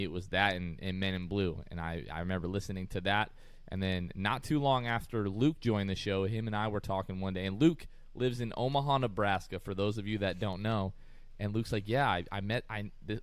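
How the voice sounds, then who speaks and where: A man's voice: on the low side, smooth, no echo. A man hosting a casual sports podcast, telling a story in an upbeat way and laughing often. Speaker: podcast host.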